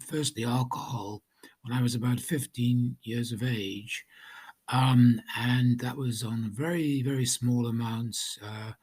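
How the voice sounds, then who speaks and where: Welsh-raised man, husky, mid pitch, halting personal testimony. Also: a little mellow. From Wales. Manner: hesitant, many ums and restarts. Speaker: guest telling his recovery story.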